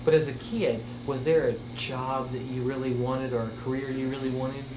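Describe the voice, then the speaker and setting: Male interviewer, mid-pitched, short questions, echoey room with hiss. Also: flat delivery, moderate pace. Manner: brief, direct questions. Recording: roomy room with background hiss.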